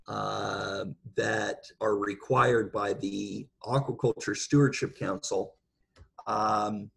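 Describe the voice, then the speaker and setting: Very gravelly man, measured explainer. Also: fairly low pitch. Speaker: guest speaker, seafood industry professional. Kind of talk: informal expert talk answering questions. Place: video call.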